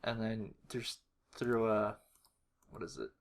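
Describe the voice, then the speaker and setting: A man giving a casual screen-recorded walkthrough, thinking aloud in a low-key, halting mutter. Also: expressive, gravelly. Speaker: video creator. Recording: faint noise with keyboard clicks. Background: computer keyboard typing and mouse clicks.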